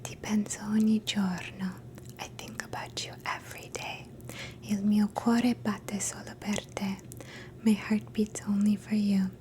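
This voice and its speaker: Softly whispering woman. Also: medium pitch, little pitch movement. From Canada. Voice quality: soft whisper, mostly unvoiced. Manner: slow, gentle, repeats each phrase. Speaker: ASMR host teaching phrases. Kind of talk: whispered ASMR language lesson.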